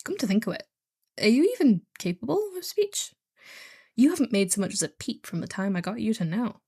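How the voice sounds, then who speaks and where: A woman's voice: on the high side, very animated, a little fast, darkish tone, breathy edge, heavily acted. Female actor as heartless female kidnapper for hire, audio roleplay: bored, snarky, casual, with swooping intonation. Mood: wry, nonchalant. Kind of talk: scripted one-sided audio roleplay drama.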